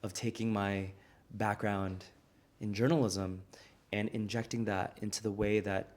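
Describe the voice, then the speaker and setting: Earnest man with a low voice. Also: steady tone, a little slow. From United States. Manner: earnest, reflective storytelling. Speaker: guest, restaurant founder. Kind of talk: conversational interview.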